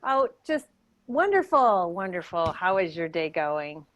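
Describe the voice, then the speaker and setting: A woman's voice: fairly low pitch, big pitch swings, measured pace, pitched below the performer's own voice. A woman playing a tired, politely upbeat job interviewer in improvised sketch comedy, hesitant and rambling.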